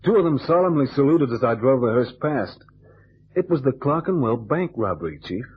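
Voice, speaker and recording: An actor playing a tough, quick-witted private detective who talks in clipped slang, in old-time radio drama style. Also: male voice, mid-range voice, sing-song, lightly acted. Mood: amused, explaining. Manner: clipped tough-guy slang, calls partner baby. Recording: dull, noisy vintage radio recording with hum.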